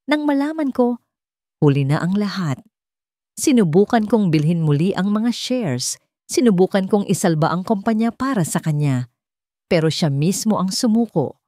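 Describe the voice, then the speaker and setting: Audio drama elder: frail but commanding old man, gentle delivery, played by a female narrator. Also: medium pitch, animated, no echo, studio quality, close to an everyday voice. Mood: sorrowful explaining. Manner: gentle, measured. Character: frail old grandfather, sharp, authoritative, sorrowful.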